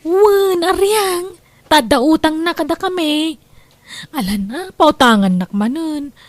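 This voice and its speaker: High pitched scheming wife lady acting it out, wheedling and insistent, radio drama style. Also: lively intonation, takes it slowly, no room sound. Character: Emmy, scheming married woman wheedling loans and favors.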